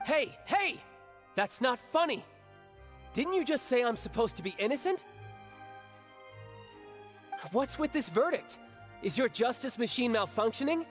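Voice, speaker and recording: A man's voice: sits high, sing-song, moderate pace, soft background music. A man playing a cocky, flippant young mercenary in a video game, sardonic and theatrical in manner. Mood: indignant.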